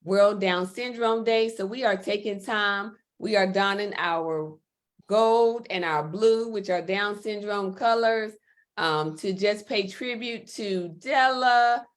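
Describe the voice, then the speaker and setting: A woman's voice: mid-range voice, takes it slowly. A woman hosting a livestream interview in an upbeat, encouraging way. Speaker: host.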